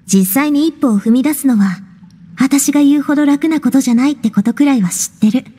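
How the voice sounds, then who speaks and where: A woman's voice: high voice, a little fast, dark tone, natural voice. An actress playing a frank, big-sisterly young woman in a video game, speaking breezily and directly. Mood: serious. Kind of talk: Japanese video game voice acting. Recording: reverberant hall-like echo. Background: faint music.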